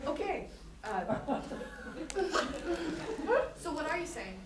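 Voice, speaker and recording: A flustered, earnest woman, played by an actress in a staged play reading, with a very high voice. She stammers apologetically and questions hesitantly. The recording is distant and echoey, with clear noise. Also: very animated, slow pace, heavily acted. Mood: confused, apologetic.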